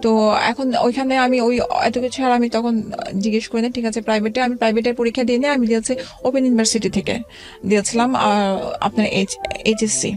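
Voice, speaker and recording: A woman in her forties telling her life story as an interview guest, halting and hesitant, with many fillers and restarts. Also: on the high side, steady tone, a little mellow.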